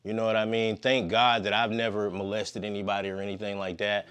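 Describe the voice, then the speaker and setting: Guest, man, 50s, very low voice, relaxed slangy rambler. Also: a little fast, clean tone. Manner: laid-back, slangy, long-winded. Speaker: podcast guest. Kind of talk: casual conversation.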